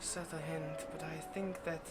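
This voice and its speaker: A performer playing a smug, possessive vampire in an ASMR roleplay, teasing and condescending with quiet menace. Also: medium pitch, flat delivery, moderate pace, over loud music, close to an everyday voice. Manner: teasing, condescending, drops to whispers.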